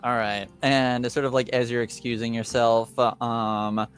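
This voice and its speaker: Guy game master, clean smooth voice, halting and slow, making up the story as he goes. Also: mid-range voice, level tone, faint music behind. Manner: halting, tentative, improvising. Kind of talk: improvised narration of a tabletop roleplaying game.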